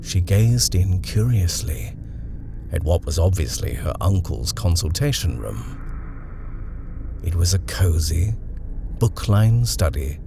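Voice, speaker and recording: Deep-voiced man narrating a horror story. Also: creaky. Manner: measured and expressive. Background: music.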